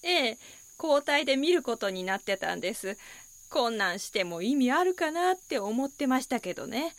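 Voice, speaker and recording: A woman's voice: high-pitched, sing-song, normal speed, no echo. An actress playing a candid, offhand local woman with a Kansai accent in an audio drama. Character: casual local woman, candid, mildly dismissive. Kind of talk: Japanese audio drama dialogue.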